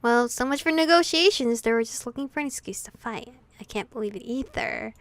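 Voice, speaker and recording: Woman reading plucky young heroine, high-voiced. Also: lively intonation, fairly quick, no room sound, close to an everyday voice. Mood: steady.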